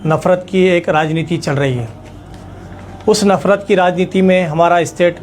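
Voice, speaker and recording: A man speaks in a notably high-pitched voice. He delivers a formal public statement as a leader would, with emphatic phrasing and pointed repetition. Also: a little mellow, slight background noise. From India. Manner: emphatic, repeats phrases for effect. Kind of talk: formal political address to camera.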